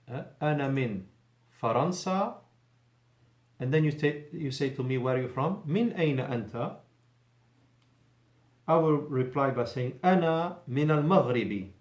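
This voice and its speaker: A man's voice: fairly high pitch, darkish tone, roomy sound. A male Arabic teacher giving a patient classroom lesson in a mosque, explaining in English and repeating Arabic phrases.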